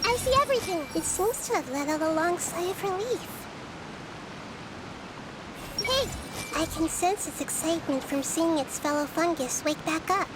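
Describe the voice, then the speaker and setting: A woman voicing a gentle, wise, child-sized nature goddess in a video game, speaking earnestly and thoughtfully. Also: very high-pitched, expressive, normal speed, pauses often, noisy background.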